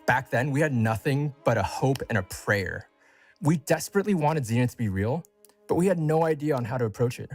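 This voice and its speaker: A man's voice: medium pitch, lively intonation, brisk, darkish tone, soft background music. A man delivering a scripted announcement as a company co-founder addressing a community.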